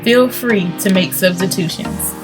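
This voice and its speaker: A woman's voice: mid-range voice, unhurried. A woman giving a scripted, step-by-step instructional voice-over for a recipe demonstration. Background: music under the voice.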